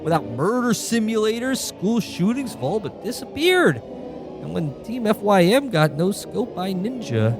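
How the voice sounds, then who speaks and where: A man acts out an exasperated guardian angel in a comic radio play. The voice is high and swings widely in pitch, theatrical and foul-mouthed. Music plays behind it. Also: very animated, measured pace, full character voice. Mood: mock-grave. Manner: theatrical, swearing. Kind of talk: comedic radio play acting.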